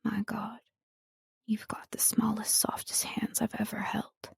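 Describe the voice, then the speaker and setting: A woman voicing a smitten, seductive man in a romance audiobook, speaking in a soft, intimate near whisper. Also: medium pitch, monotone, measured pace, gravelly, husky, dry recording, clean studio sound, exaggerated voice. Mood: tender, awed.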